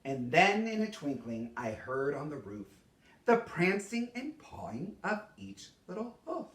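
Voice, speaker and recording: Man, expressive verse recital, swooping intonation, deliberate pauses, echoey room. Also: a little high. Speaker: storyteller reading a Christmas poem. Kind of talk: theatrical poetry recitation. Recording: roomy echo, distant microphone.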